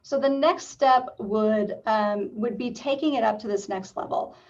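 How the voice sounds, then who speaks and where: A woman on city staff presenting a plan at a public meeting, measured and full of ums. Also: on the high side. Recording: distant, dull lo-fi video meeting audio.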